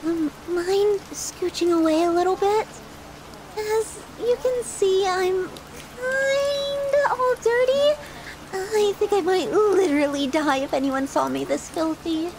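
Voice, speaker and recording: A woman's voice: very high-pitched, takes it slowly, background noise, exaggerated voice. A woman playing a possessive, sadistic yandere girlfriend in an ASMR roleplay, doting and cutesy with theatrical affection. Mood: sweet, weary. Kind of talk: ASMR roleplay, scripted monologue to the listener.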